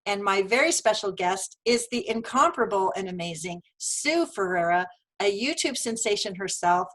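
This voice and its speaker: A woman's voice: mid-range voice, animated, normal speed, fairly bright tone, poor audio. Upbeat, effusive woman host. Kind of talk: live webinar hosting.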